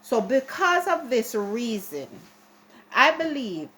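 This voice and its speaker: A woman's voice: a little high, sing-song, takes it slowly, a bit bright. A Jamaican woman giving a chatty, ranting opinion piece to her viewers, often restarting and repeating herself. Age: adult. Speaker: vlogger. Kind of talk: casual opinion monologue to camera.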